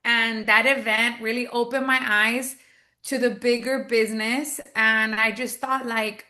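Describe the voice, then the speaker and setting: A woman's voice: on the high side, steady tone, unhurried, sharp tone. A woman in her thirties from Texas, telling her story in a chatty, upbeat way with lots of fillers, as a guest on a video call. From Texas, United States. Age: 30s. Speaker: guest, business owner. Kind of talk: casual interview on a video call.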